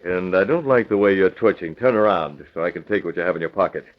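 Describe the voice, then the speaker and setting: Male actor as masked crime-fighter, deep voice, commanding, coolly menacing, sardonic, old radio serial acting, muffled recording. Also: fast, lightly acted. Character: confident, stern masked vigilante, coolly menacing.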